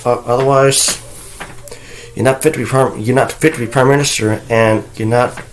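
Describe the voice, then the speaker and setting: A man raised in North Carolina, recording a casual home vlog, rambling with many halting restarts and blunt, profane remarks. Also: mid-range voice, steady tone, slight background noise, low-quality recording. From North Carolina, USA (raised there, born in Okinawa, Japan). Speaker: vlogger. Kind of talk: casual ranting monologue.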